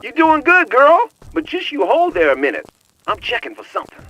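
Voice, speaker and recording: An actor with an American accent voicing a wisecracking, streetwise, excitable tech sidekick in a video game, with slangy, cocky banter. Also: male voice, very high-pitched, a little fast, creaky, exaggerated voice, rough recording. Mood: jokey, bantering. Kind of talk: video game cutscene voice acting.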